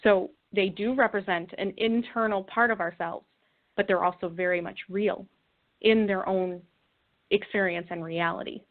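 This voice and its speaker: A woman guest explaining her views in an interview, earnest and reflective, with halting phrasing. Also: medium pitch, fairly even intonation. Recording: thin phone-like call audio.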